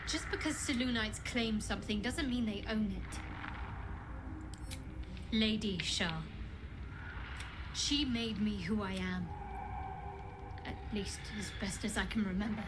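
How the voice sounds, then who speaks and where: An actress playing a guarded, devout young woman cleric of a dark goddess in a video game, speaking in an earnest, measured, often hushed way. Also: medium pitch, background noise, natural voice. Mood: defensive. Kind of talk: dramatic video game dialogue. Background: game music.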